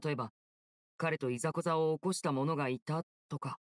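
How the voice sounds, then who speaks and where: A man playing a polite, gentle, self-possessed young stage magician in an anime game, courteous and reassuring. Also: fairly high pitch, steady tone, brisk, no room sound, studio quality, natural voice. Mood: earnest, persuasive.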